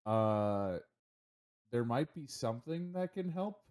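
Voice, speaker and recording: A man runs a tabletop roleplaying game as its game master. He talks casually in a middle pitch, haltingly thinking aloud as he narrates. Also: expressive, darkish tone.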